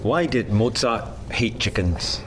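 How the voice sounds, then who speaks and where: A man trading jokes at a studio table, teasing and lively. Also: medium pitch, slow, noisy background. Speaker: game contestant. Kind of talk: joke-telling game banter.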